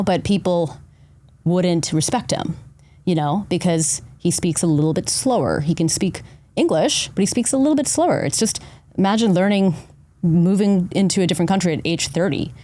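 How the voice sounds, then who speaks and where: A woman hosting a podcast, telling her story in an earnest, animated way and often asking "right?". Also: on the low side, moderate pace, dry recording. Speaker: podcast host. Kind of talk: casual podcast conversation.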